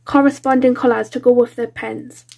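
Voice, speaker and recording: A woman's voice: fairly high pitch, fairly even intonation, moderate pace, creaky, a little mellow. A British woman with a blocked-up nose from a cold, chatting casually and informally as she hosts a craft vlog. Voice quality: congested, nasal. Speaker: colouring channel host.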